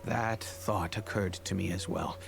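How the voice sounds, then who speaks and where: Low-voiced, regretful fatherly merchant. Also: creaky, heavily acted. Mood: measured, grave. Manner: measured, formal, hesitant. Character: regretful fatherly wealthy merchant, measured and dutiful. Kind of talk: English video game voice acting. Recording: music behind the voice.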